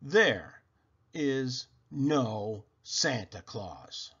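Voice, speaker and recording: A man's voice: medium pitch, very animated, very slow, fairly bright tone, slightly rough, exaggerated voice. A man voicing a skeptical, scoffing young boy in a storybook reading, insistent and know-it-all. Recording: dull lo-fi recording.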